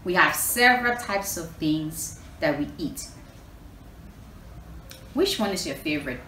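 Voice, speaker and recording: Woman, children's story host. Very expressive, unhurried, teacherly. Roomy recording with some noise. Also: sits low. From Liberia. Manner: repetitive, asks listeners questions, teacherly. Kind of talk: animated children's story reading and lesson. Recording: roomy echo, clear background noise.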